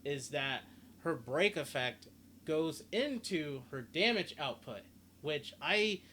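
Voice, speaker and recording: A man's voice: fairly high pitch, expressive, slow pace, sharp tone. A man giving a casual game character guide, rambling and often repeating and rephrasing himself. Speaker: gaming video host. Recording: clearly noisy home recording.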